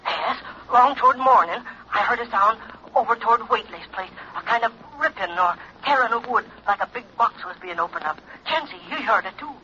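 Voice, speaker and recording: Through a tinny old radio telephone effect comes a spooked country farmer. He has a rural American accent and a high, very sharp voice, halting and folksy. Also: lively intonation, heavily acted. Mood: uneasy, earnest. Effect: telephone filter. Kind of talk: 1940s radio drama acting. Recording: tinny, hissy old radio phone line.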